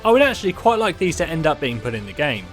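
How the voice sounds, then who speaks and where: A man's voice: a little high, big pitch swings, quick pace, a bit bright, faint music behind. A man hosting and narrating a scripted video. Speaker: host and narrator.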